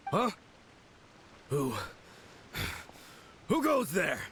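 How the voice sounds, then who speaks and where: A man playing a ridiculous, manliness-obsessed swordsman in an anime game, theatrical and halting, swinging between bluster and whimpering. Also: high voice, sing-song, a bit bright, a little hiss or hum, full character voice. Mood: startled. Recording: music clearly behind the voice.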